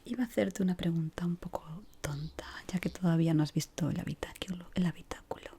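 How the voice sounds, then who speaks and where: A woman from whispers softly and without hurry in an ASMR roleplay, gently giving instructions as a decorator guiding a newcomer. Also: lowish voice, fairly even intonation, husky. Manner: soft, unhurried, gently instructing.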